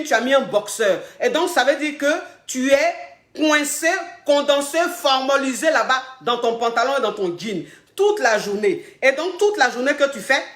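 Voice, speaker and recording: A woman with a slight head cold, giving coaching advice on a live stream, repeating herself and bursting out loudly on key points. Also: sits high, reverberant. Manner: emphatic and repetitive, loud bursts on key points. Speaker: relationship coach.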